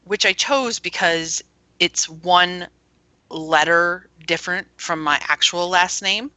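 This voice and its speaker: Bright, low-voiced woman chatting in interview. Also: slow pace, no room sound, low-quality recording. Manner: explanatory, anecdotal. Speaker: guest, founder of an internet radio station. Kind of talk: casual interview chat.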